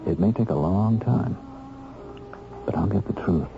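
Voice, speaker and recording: A man's voice: low voice, unhurried, creaky. A man acting a radio drama role: a bitter, determined bookkeeper freed from prison, earnest and methodical as he talks. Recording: muffled old radio recording with music.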